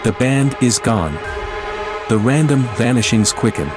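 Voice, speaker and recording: A man's voice: mid-range voice, lively intonation, a little slow. A man narrating an audio drama from a script in a dramatic style, with music behind him.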